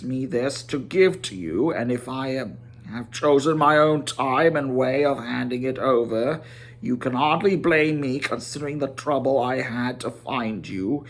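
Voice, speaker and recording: A man voicing a grave old wizard in a storybook reading, stern, proud, slow and grim in manner. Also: a little high. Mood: indignant.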